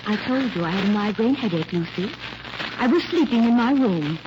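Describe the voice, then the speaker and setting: A woman's voice: mid-range voice, lively intonation, moderate pace, dull audio, background noise, lightly acted. A woman playing a manipulative, menacing sister in an old radio melodrama, intense and domineering, on a vintage recording. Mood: cold, sharp. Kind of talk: 1940s radio melodrama acting.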